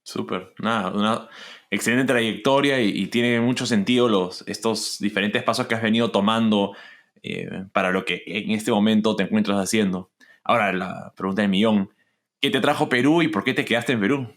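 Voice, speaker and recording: A man's voice: fairly low pitch, moderate pace, fairly bright tone. A man hosting a podcast, asking friendly questions in a casual interview. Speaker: podcast host.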